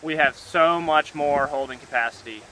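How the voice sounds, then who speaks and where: Bright-voiced young farmer, earnest. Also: male voice, a little high, normal speed, slight background noise, rough recording. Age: young adult. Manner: earnest, gestures a lot, repeats words as he goes. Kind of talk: casual talk to camera. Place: outdoors by a pasture fence under a tree.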